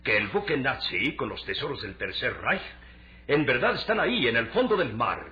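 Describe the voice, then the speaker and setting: A forceful, very animated middle-aged tycoon in a lo-fi radio drama. He talks in theatrical, emphatic Latin American Spanish, with the probing air of a shrewd businessman. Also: medium pitch, moderate pace, slight background noise. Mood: excited. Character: shrewd middle-aged shipping magnate, doubtful yet excitable father. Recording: dull, lo-fi radio drama recording.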